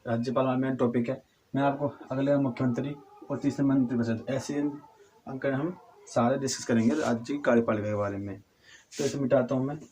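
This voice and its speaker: Young guy with a cold, hoarse voice, teaching civics, lots of restarts, slight hiss behind. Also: medium pitch, fairly even intonation, dark tone, poor audio. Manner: halting, with frequent restarts and throat clearing; addresses viewers as friends, asks and answers own questions. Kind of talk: civics lesson at a whiteboard.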